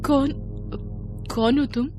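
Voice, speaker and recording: Woman playing a panicked, high-pitched frightened mother. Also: fairly even intonation, slow pace, over music. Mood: panicked, pleading. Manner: panicked, stammering repeats. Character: terrified, bewildered sick mother in hospital. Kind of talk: Hindi horror story acting.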